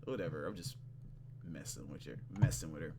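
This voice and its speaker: A man hosting a gaming video, reading story text aloud with casual, playful commentary and expressive reactions. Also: extremely low pitch, a little fast, creaky, background noise. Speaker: gaming channel host.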